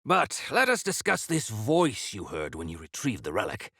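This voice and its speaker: An actor playing a spry, jovial yet fierce old rebel elder in a video game, with a gravelly voice and a grandiloquent, mischievous manner. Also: male voice, fairly low pitch, wildly swooping pitch, moderate pace, fairly bright tone, no echo, clean studio sound, full character voice. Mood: wry, grave. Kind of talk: theatrical video game character dialogue.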